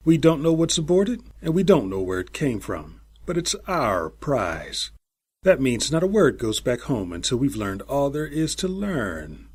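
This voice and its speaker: A man's voice: mid-range voice, big pitch swings, a little slow, breathy edge, pitched above the performer's own voice. A man voicing an eager, sly old space station captain in an audiobook, snapping out orders and relishing his words. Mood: commanding, eager. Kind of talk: audiobook character voice.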